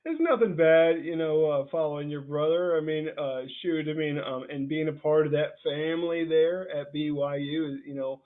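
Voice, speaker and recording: Male sports interviewer, slightly high voice, informal and halting, heard through a narrow telephone-like line. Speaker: interviewer and football scout. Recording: thin, narrowband phone-like call sound.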